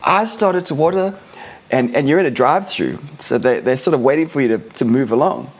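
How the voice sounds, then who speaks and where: A man hosting a casual podcast, husky and hoarse from a cold, chatty and laughing as he tells stories with many restarts. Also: medium pitch, expressive, a little fast. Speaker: podcast host.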